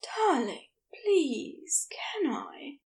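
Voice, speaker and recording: A woman voicing a tender male lover in an audiobook, soft and breathy, murmuring and groaning as he pleads. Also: high-pitched, very animated, extremely slow, pitched above the performer's own voice, heavily acted. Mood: pleading, urgent.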